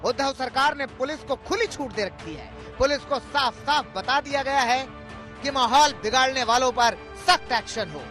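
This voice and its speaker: A man's voice: extremely high pitch, normal speed, sharp tone, soft background music. A man reading a scripted television news report as a reporter.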